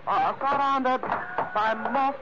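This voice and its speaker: A man's voice: extremely high pitch, slow. An actor playing a smiling, sly henchman who speaks ornate, obsequious broken English in a 1940s radio adventure. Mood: smooth, polite menace. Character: smiling, sly, flowery-spoken Chinese henchman with a gun. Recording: noisy, narrow vintage radio recording.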